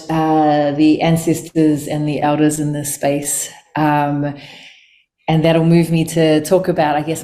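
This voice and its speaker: A woman in her forties with a low voice talks through an interview over a video call. She grew up between Samoa and New Zealand and speaks in a relaxed, reflective way, pausing often with soft fillers. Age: 40s. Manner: relaxed, thoughtful, many ums. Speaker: chocolate maker guest. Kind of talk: casual interview talk.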